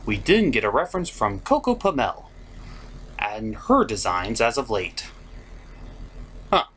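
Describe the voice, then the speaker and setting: A male review show host with a lively, swinging pitch. He narrates in a sarcastic, theatrical comedy style, with faint noise behind him. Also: measured pace. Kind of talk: comedic review, part scripted, part ad-libbed.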